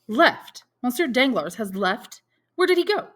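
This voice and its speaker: Woman voicing an alarmed gentleman, pitched up, questioning. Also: very animated, a little slow, full character voice. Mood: startled. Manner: urgent, questioning. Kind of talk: audiobook character dialogue.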